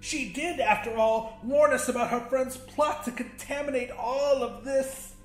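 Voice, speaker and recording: A man's voice: very high-pitched, unhurried, pitched above the performer's own voice. A man voicing a pompous, theatrical shadow monster, a gleeful villain in a horror audiobook. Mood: mock concern. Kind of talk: dramatic horror audiobook character voice. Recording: roomy echo with faint music.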